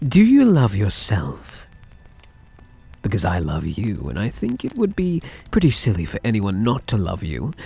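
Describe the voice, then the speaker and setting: A soft yandere mafia heir, acted by a man in an intimate ASMR roleplay, speaking slowly with a breathy, husky edge. His delivery rises and dips expressively as he coaxes, tender and possessive. Music plays quietly behind him. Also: on the low side, exaggerated voice. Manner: tender, coaxing, softly possessive.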